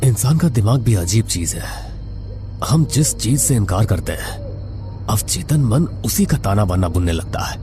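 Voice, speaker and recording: A man's voice: on the low side, very animated, unhurried, dark tone, faint music behind. A man narrating a scripted horror story in a dramatic, suspenseful manner.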